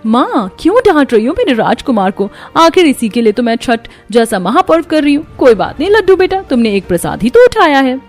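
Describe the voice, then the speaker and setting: A woman voicing a proud, scornful young wife in a cartoon story, talking sharply in a high voice. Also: very animated, brisk, hardly any pauses, soft background music. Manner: sharp, haughty, raised voice.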